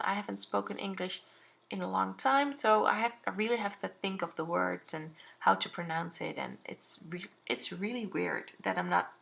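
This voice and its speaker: A woman's voice: medium pitch, vocal fry, fairly bright tone. A young woman with a Dutch accent, talking through her scrapbooking in a casual voice-over, hesitant and full of fillers. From Netherlands. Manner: hesitant, many fillers and restarts. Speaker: scrapbooking channel host.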